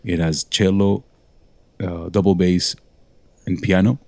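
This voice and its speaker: A man's voice: deep voice, level tone, slow, mellow, no echo. A man from Montreal, a guest musician, telling his story in a rambling, self-correcting way. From Montreal, Canada. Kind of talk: casual interview talk.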